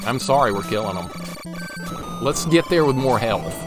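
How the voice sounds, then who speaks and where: A man in his forties chatting casually with his viewers while playing a retro game, laughing easily and stumbling over repeated words. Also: mid-range voice. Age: 40s. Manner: chatty, self-deprecating, quick laughs, repeats words when excited. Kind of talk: casual live-stream chat while playing. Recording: game music playing underneath. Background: game music.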